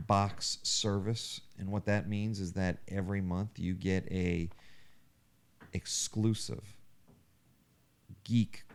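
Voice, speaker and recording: A man with a very low, smooth, resonant voice hosts a casual podcast. He talks earnestly and thoughtfully, working out his phrasing as he goes. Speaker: podcast host.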